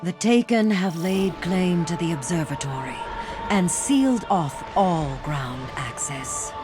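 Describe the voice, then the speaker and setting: An actress playing a solemn mystic sorceress in a video game, telling her tale in a deliberate, dramatic way. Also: fairly low pitch, animated, over loud music. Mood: grave. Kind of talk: video game cutscene acting.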